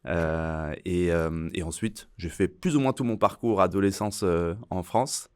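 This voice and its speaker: Man in his thirties, from Iran, deep voice, dry recording; easygoing, halting podcast guest. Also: clean tone, no room sound. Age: 30s. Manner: relaxed, hesitant, many fillers and restarts. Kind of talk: casual conversational interview.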